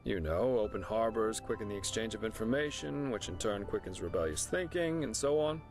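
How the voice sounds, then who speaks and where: An actor playing a chatty, wry, easygoing adventurer in a fantasy game, explaining things to a newcomer in a casual, jokey manner. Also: male voice, on the low side, lively intonation, a little fast, faint music behind. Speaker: travelling companion and guide character. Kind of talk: scripted fantasy game dialogue.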